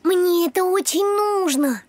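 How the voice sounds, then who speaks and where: A woman's voice: very high-pitched, a little slow, darkish tone, no echo. A woman voicing an earnest little boy puppy in a children's cartoon, speaking in a childlike, pleading way. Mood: pleading, determined.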